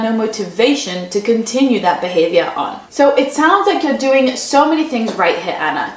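A woman giving parenting advice in a conversational way, often checking in with "right?" as she explains. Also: fairly high pitch. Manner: conversational, often adds "right?" tag questions. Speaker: parenting coach. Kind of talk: advice talk answering a parent's question. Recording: roomy echo.

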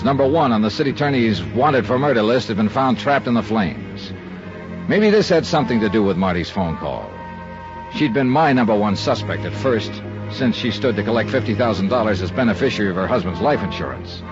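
An actor playing a clipped, wry insurance investigator who narrates a 1950s radio drama. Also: male voice, fairly low pitch, lively intonation, fairly quick, lightly acted. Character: hard-boiled insurance investigator man. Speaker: detective and narrator. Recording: dull 1950s radio recording with music.

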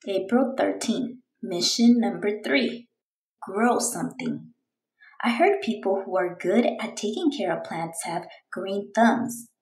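A woman voicing an eager, determined young girl reading out her storybook diary in an earnest, expressive way. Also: mid-range voice, takes it slowly, roomy sound, poor audio. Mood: hopeful.

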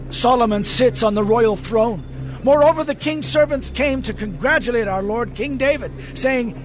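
Earnest young herald, very high urgent voice, audio Bible drama with faint music. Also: male voice. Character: earnest young male messenger bearing grave news.

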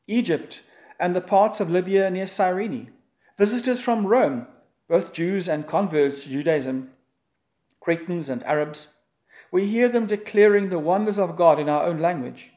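A man's voice: fairly high pitch, a little mellow. Solemn man leading prayer and scripture. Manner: solemn, measured liturgical reading. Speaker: pastor. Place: church.

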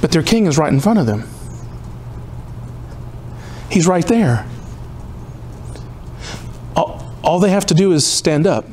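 Fifties male pastor, slightly high voice, pleading and rhythmic, faint hiss in a church hall. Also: big pitch swings, slow pace, long pauses, a little mellow. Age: 50s. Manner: impassioned, rhythmic repetition, pleading. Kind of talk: sermon. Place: church hall in front of a cross.

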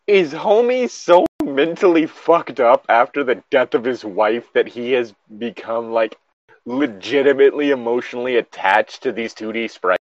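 A man's voice: mid-range voice, moderate pace, no room sound, muffled recording. A man giving casual, sweary and sardonic commentary as he reads and reacts to a story. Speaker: host reading and reacting to a horror story.

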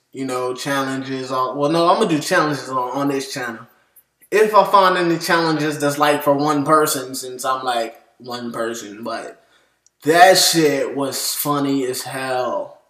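A man's voice: mid-range voice, fairly bright tone. A man in his twenties with an American accent, chatting casually with profanity as he hosts a reaction video. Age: 20s. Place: small indoor room at home. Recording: roomy, echoey indoor sound.

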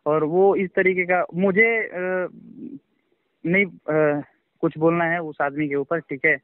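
A man speaks over a thin, dry telephone line in a fairly high voice. He is being interviewed informally, and he answers defensively, halting and repeating his phrases as he goes. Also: fairly even intonation, no echo. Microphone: phone call. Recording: tinny, dry phone-call line.

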